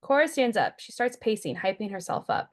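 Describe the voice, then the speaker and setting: A woman's voice: mid-range voice, very animated, fairly quick. A woman reading scripted stage directions as the narrator of a table read.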